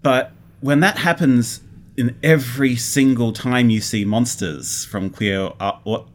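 A man's voice: mid-range voice, a little slow. Earnest man explaining in casual conversation. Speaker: interview guest, audio drama creator. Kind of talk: casual interview chat.

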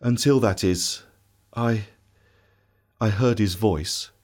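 A man playing a bitter, grief-stricken disciple in a dramatic first-person monologue, emotional and theatrical, swinging from hushed to shouting. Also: lowish voice, takes it slowly. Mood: subdued. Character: anguished, bitter doubting male disciple recounting his grief.

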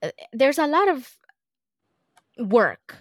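A woman entrepreneur speaking as a podcast guest, earnest and reflective, often pausing on fillers. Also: high voice, very animated, no echo, clean studio sound. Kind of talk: casual interview conversation.

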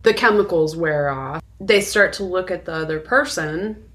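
A woman's voice: fairly low pitch, moderate pace, fairly bright tone. A woman co-hosting a podcast, talking casually and reflectively about a film in an informal way. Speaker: podcast co-host. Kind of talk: casual conversational film discussion.

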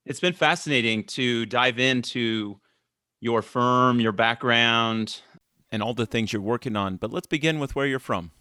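A man's voice: medium pitch, unhurried, pushed and bright, no room sound, studio quality. A man hosting a podcast, reading a scripted introduction and asking interview questions. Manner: smooth, read-aloud delivery. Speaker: podcast host.

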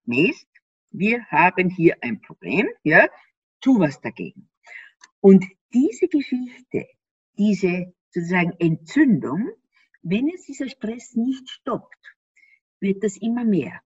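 A woman's voice: mid-range voice, very animated, slow pace, breathy edge, no room sound. A woman from Austria with an Austrian accent, explaining things animatedly in an interview, laughing often and asking rhetorical questions. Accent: Austrian German. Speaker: interview guest. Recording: slightly dull dry sound.

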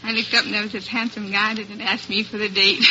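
A woman with a very bright, forward voice tells her story as a radio quiz contestant. She is polite, giggly and good-humoured, on a slightly noisy vintage broadcast. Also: medium pitch, level tone, fast, dull audio. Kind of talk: chatty storytelling in a radio interview.

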